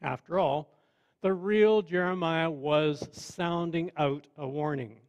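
A man preaching a sermon in a measured, conversational way with wry asides. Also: fairly high pitch. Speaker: pastor.

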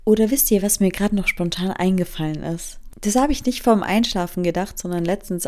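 A woman's voice: on the low side, darkish tone. A woman in her mid-twenties from Germany, hosting a casual solo podcast, rambling and self-mocking as she drifts from topic to topic and mixes in English phrases. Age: 20s. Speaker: podcast host.

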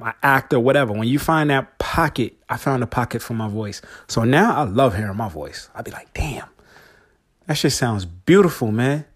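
A man in his forties hosting a solo podcast, talking casually and slangily straight to his listeners. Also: mid-range voice. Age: 40s. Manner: laid-back, slangy, talks straight to listeners. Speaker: podcast host.

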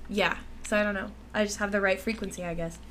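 A woman's voice: medium pitch, steady tone, fast, a bit bright, a little hiss or hum. A young woman co-hosting a podcast, chatting casually with fillers, restarts and frequent laughs. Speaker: podcast co-host. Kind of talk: casual chat.

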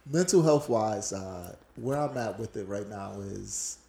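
A man's voice: on the low side, animated, a little slow, gravelly, roomy sound, a crowd in the background. A man from Brooklyn, co-hosting a podcast and telling personal stories in an earnest, reflective way. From Brooklyn, New York. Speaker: podcast co-host. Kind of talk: casual podcast chat.

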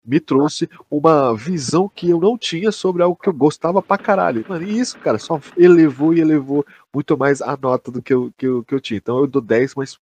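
Male podcast host, Brazilian accent, slightly rough husky tone, excited and sweary casual chat. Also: on the high side, a little fast, hardly any pauses. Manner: slangy, swearing, emphatic. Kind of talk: casual chat, enthusiastic review.

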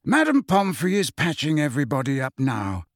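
A man voicing a calm, kindly, grave old wizard headmaster in an audiobook, speaking in a quiet, measured way. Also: fairly high pitch, no room sound, studio quality. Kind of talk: audiobook character voice.

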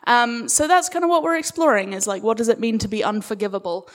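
A woman chatting casually as a guest at a live interview, jokey, informal and halting as she explains her work. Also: fairly high pitch, animated. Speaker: guest, audio fiction creator. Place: live event room with audience.

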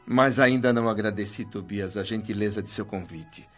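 An actor playing an earnest, grateful newcomer who narrates his story in a measured, formal Brazilian voice for a radio serial. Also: fairly low pitch, natural voice. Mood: moved, grateful. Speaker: narrator and protagonist. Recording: dull radio-drama recording with music. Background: music.

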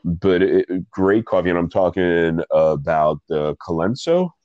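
A man's voice: deep voice, animated, measured pace, no echo, muffled recording. A man with a stuffy nose hosting a casual interview, rambling with self-deprecating asides. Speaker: podcast host and coffee reviewer.

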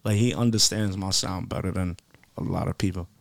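A low-voiced man with a gravelly edge, talking as a musician in an interview. He is emphatic and sure of himself, uses loose slang and repeats his phrases, and the sound is dry and close. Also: fairly even intonation, fairly quick, no room sound. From United States. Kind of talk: casual slangy interview chat.

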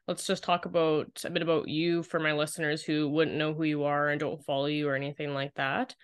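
A woman's voice: deep voice, clean tone. A woman hosting a casual podcast interview, chatty and upbeat, laughing a lot as she asks questions. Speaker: podcast host.

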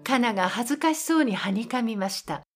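A woman voicing a calm storyteller, narrating a scripted Japanese audio drama. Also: fairly high pitch, a little fast, soft background music, natural voice. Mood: calm narration.

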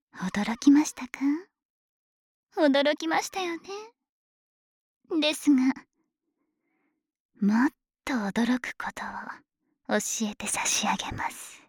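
A woman's voice: high-pitched, animated, no echo, full character voice. A woman playing an obsessive, possessive female dragon maid in an ASMR voice drama, speaking in polite servant phrases with a whispery, doting, menacing delivery, close to the microphone. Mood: teasing, confiding. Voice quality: breathy, whispery. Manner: slow, honorific servant speech, softly teasing. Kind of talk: Japanese ASMR situation voice drama. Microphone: close microphone, dry studio recording.

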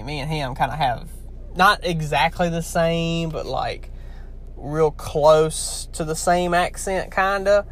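Young man, heavy Louisiana accent, casual rambling vlog chat, slightly higher voice. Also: fairly bright tone, slight background noise. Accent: heavy Southern US, Louisiana. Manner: chatty, rambling, talks straight to viewers. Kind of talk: casual talk to camera.

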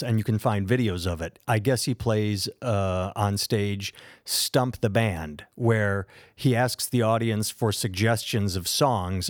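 A man's voice: lowish voice, dry recording, clean studio sound. An American man co-hosting a podcast, telling stories in a rambling, enthusiastic way. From United States. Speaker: podcast co-host. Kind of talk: casual podcast chat.

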